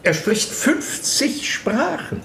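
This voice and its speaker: High-voiced, flustered, stammering archbishop. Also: male voice, big pitch swings, slow, gravelly, fairly bright tone, exaggerated voice. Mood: eager, flustered. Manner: stammering, hesitant, obsequious. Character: flustered, eager-to-please German archbishop entertaining a noble guest. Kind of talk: radio play acting. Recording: roomy studio sound, music behind.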